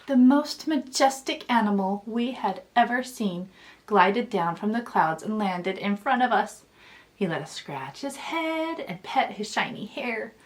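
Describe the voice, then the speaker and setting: A woman reading a children's story aloud in an expressive storytelling style. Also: medium pitch, unhurried. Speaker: children's author reading own book aloud.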